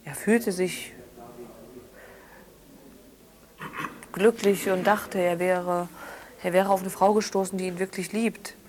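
An actress playing a bitter, scornful daughter in a naturalistic audio drama, emphatic and accusatory in long run-on phrases. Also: female voice, fairly low pitch, steady tone, normal speed, darkish tone, close to an everyday voice. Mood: subdued bitterness. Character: bitter, scornful daughter condemning her father's lover.

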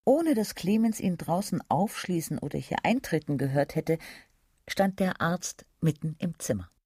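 Gravelly male narrator, higher-pitched. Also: lively intonation, moderate pace, mellow. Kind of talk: literary scripted narration for radio drama.

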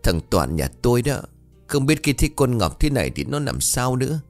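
A man voicing a sharp-tongued older village woman who wails and scolds loudly in an audio story. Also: mid-range voice, very animated, fairly quick, faint music behind, voice pushed up. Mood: wailing grief. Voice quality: loud, ringing. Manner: wailing, accusatory. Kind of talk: dramatic audio-story dialogue.

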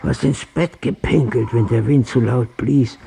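A man's voice: medium pitch, steady tone, normal speed, vocal fry, mellow, a little hiss or hum, close to an everyday voice. An actor playing a bitter old father on his deathbed, reproaching his son in a radio drama. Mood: reproachful. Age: older.

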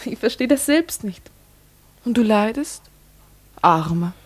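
An actress playing a gentle, compassionate, wistful young woman in a German radio play, tender and reserved. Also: on the high side, lively intonation, slow pace.

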